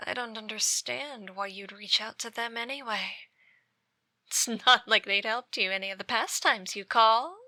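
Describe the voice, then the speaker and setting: A woman plays an obsessive, possessive ex-girlfriend in a scripted ASMR drama. Her voice is very bright and sharp, recorded close and dry. She draws her words out slowly with menacing sweetness, often sinking into a breathy whisper. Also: on the high side, no room sound, heavily acted. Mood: bitter, wounded. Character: obsessive yandere ex-girlfriend stalking her former lover. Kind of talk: ASMR roleplay, scripted monologue.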